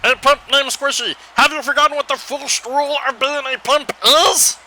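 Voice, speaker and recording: A man's voice: very high voice, steady tone, moderate pace, pushed and bright, full character voice. A man voicing a small, friendly, innocent teddy bear wrestler in a cartoon. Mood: urging.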